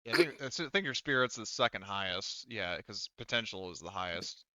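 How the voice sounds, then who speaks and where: A man's voice: on the low side, lively intonation, fairly quick, bright, forceful tone, no echo, dull audio. A man acting as game master, casually talking through tabletop game rules in a rambling, backtracking way.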